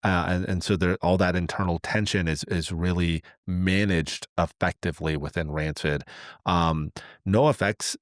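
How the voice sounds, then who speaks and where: A man co-hosting a podcast, commenting conversationally and repeating words haltingly as he forms his thoughts. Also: low-pitched, fairly even intonation, no echo, clean studio sound. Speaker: podcast co-host.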